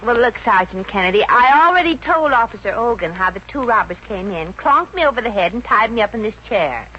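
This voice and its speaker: Bright-voiced, wisecracking young woman. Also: a little high, animated, a little fast, soft background music. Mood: flippant. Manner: flippant, slangy wisecracks. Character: wisecracking, sassy young woman wrongly jailed, streetwise. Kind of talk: 1950s radio comedy acting. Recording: noisy, dull old 1950s radio recording.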